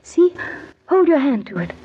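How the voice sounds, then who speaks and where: A dull, slightly noisy vintage radio recording of a young bride-to-be. Her voice is fairly high yet very mellow, wistful and fervent, as if lost in rapture. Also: sing-song, moderate pace, soft background music. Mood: enraptured. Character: dreamy, enraptured young bride-to-be of a nobleman. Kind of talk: old-time radio drama acting. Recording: muffled old radio recording, faint noise.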